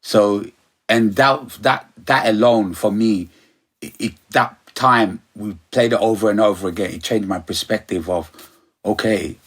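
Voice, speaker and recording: A middle-aged man from Ghana, his voice low and husky. He rambles through his answers, circling back with "you know" and "like I said.". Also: a little slow. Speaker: sound system operator, guest. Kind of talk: relaxed interview chat.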